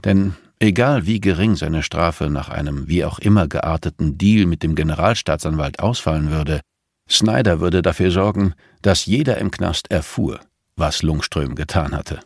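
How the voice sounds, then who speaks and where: A man's voice: rumbling low, moderate pace, a little mellow, dry recording, clean studio sound. A man reading scripted thriller narration as an audiobook narrator.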